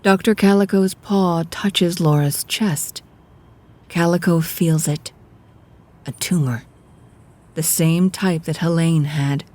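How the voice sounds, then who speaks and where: A woman's voice: lowish voice, fairly even intonation, slow, long pauses, a little mellow. A woman narrator giving scripted, dramatic storytelling narration for an audio drama.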